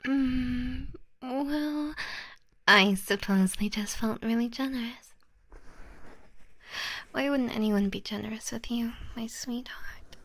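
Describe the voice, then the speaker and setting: A young woman whispering an ASMR roleplay as an obsessive, possessive lover, cooing endearments softly. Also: fairly high pitch, slow pace, long pauses, heavily acted. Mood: doting. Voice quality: soft whisper.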